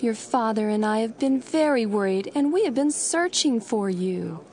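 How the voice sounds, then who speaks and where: An actress playing a worried, gently reproachful mother in a Bible audio drama, earnest and pleading. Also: a little high, expressive, moderate pace. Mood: anxious reproach.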